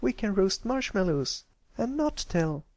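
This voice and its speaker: Man doing a very high, girlish little filly. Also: big pitch swings, a little slow, darkish tone, dry recording, full character voice. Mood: timid.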